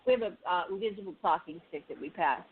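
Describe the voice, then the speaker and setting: A woman host moderating a group video call, brisk and organizing as she keeps time for each speaker. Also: on the low side, breathy edge. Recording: tinny call audio with faint hiss.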